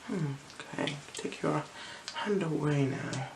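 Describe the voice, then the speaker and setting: A person with a slight cold role-playing an eye examiner in a gentle, unhurried ASMR style, half whispering and murmuring little hums between short phrases, close to the microphone. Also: medium pitch, gravelly, background noise. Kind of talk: ASMR roleplay, soft-spoken and whispered.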